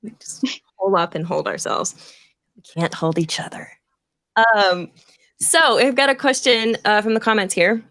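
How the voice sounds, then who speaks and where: A woman's voice: medium pitch, unhurried, pauses often, a bit bright, no echo. Female host, informal live stream chat, loose and apologetic, mid-range voice, dry sound. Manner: informal, apologetic, swears casually. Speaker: host relaying audience questions.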